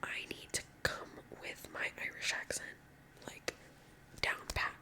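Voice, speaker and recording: A young woman with a Californian accent rambles through casual ASMR small talk in a soft whisper. Her breathy voice is strikingly bright and sharp. She drifts between topics while doing her nails, with lots of "like" and "um.". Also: takes it slowly. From California, USA. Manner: rambling and chatty, full of "like" and "um". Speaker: ASMR creator. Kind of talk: whispered ASMR chit-chat.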